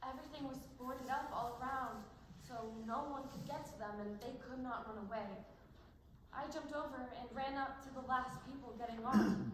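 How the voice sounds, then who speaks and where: High-voiced anguished girl on an echoey stage played by a woman. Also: normal speed, lightly acted. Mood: somber. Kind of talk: amateur stage play acting. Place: stage in a large room. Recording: roomy distant stage recording, faint noise.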